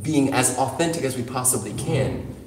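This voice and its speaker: Male interview guest, mid-pitched, casual but emphatic and philosophical, recorded in a reverberant space. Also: moderate pace, a little mellow, far from the mic. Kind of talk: casual spoken discussion. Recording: echoey, reverberant room.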